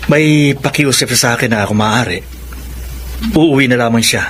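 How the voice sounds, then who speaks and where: Actor as grave middle-aged Filipino doctor, faint noise. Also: male voice, medium pitch, fairly bright tone, natural voice. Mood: somber. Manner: measured, serious delivery. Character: grave older doctor uncle breaking bad news. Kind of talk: Filipino radio drama acting.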